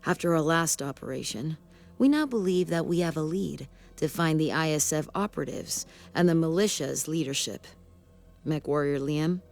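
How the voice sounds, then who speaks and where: Stern female sci-fi officer, lowish pitch, clipped formal orders, game cutscene with music behind. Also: moderate pace, vocal fry. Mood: stern, commanding. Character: stern woman military commander of a clan mech unit. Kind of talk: video game cutscene acting.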